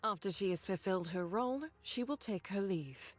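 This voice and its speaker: An actress playing a composed squad leader in a game cutscene, giving briefings in a measured, formal, authoritative way. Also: female voice, mid-range voice, soft background music, natural voice. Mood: composed, explanatory.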